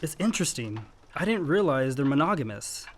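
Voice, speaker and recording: An actor playing a dry, self-contained young adult brother in a radio play, with a wry, laconic American delivery. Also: male voice, on the high side, big pitch swings, fairly quick, slight background noise. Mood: wry, relaxed. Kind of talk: naturalistic radio play acting.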